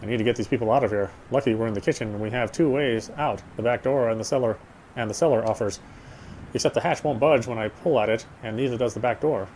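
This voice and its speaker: A man's voice: mid-range voice, darkish tone, slight background noise, low-quality recording. A man reading a horror game's story aloud as dramatic narration, sometimes stumbling and rereading phrases. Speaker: game player reading story text aloud. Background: game music.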